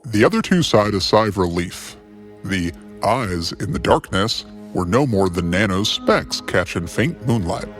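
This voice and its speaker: Low-voiced male narrator over music. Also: sing-song. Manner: measured, deliberate, dramatic storytelling. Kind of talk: scripted story narration.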